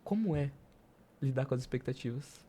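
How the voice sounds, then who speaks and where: A woman interviewing in a casual, colloquial way, with informal, slightly halting phrasing. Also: rumbling low, animated, dark tone, husky. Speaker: podcast interviewer.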